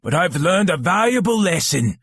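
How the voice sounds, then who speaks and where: Man as a smug, raspy little halfling. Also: high voice, very animated, moderate pace, fairly bright tone, dry recording, heavily acted. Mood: mock-solemn. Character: smug, mischievous little male halfling with cruel streak. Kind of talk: animated comic fandub acting.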